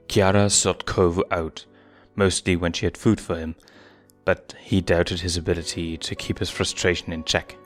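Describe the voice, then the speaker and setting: A man reading a fan fiction story aloud as a scripted narrator. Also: low-pitched.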